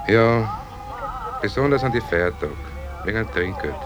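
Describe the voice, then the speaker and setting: An actor playing a droll, philosophising Viennese taxi driver in a radio drama, with a quirky deadpan manner. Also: male voice, fairly low pitch, measured pace, music in the background.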